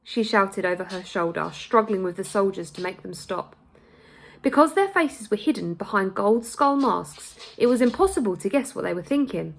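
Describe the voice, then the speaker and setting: A woman teacher reading a children's novel aloud with expressive, dramatic storytelling and shifting pace. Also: medium pitch, creaky, poor audio.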